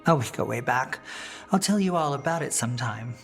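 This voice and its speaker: Maevaris, a wry, low-voiced aristocratic mage. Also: female voice, expressive, brisk, gravelly, soft background music, exaggerated voice. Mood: wry, familiar. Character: poised, sly aristocratic mage rebel, worldly and wry. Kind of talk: fantasy game voice acting.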